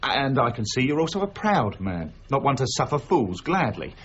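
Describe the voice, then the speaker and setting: Glib flattering schemer, cocky and animated, period radio drama with some noise. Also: male voice, mid-range voice, moderate pace, muffled recording. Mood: flattering, oily. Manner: smooth-talking, cocky, ingratiating. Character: glib, swaggering male con man, flattering schemer.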